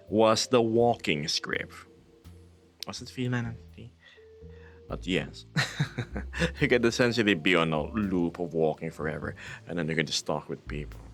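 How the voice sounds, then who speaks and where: A man's voice: sits low, a bit bright. A man from the Philippines hosting a casual livestream, chatting playfully with viewers and laughing often.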